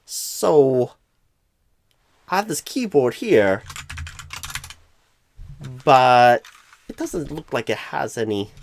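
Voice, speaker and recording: A man voicing a clueless, flustered job recruiter in an ASMR roleplay, rambling with odd pauses mid-sentence. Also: medium pitch, very animated, vocal fry, slight background noise, exaggerated voice. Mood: bumbling, apologetic. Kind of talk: ASMR roleplay, comic improvised monologue.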